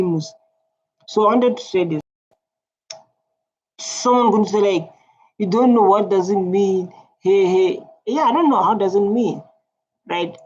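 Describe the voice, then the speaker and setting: A man's voice: sits high, darkish tone. A South African man with a Tswana accent talks casually as he reacts to clips, blunt and repetitive, and English is not his first language. The sound is dull and lo-fi, with a faint hiss under his voice. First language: Setswana.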